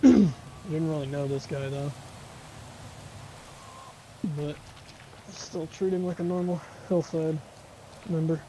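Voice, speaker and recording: A man's voice: a little high, fairly even intonation, slow pace, pauses often, warm tone. A man speaking casually in character as a player in an online roleplay game. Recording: noisy game voice chat, keyboard typing.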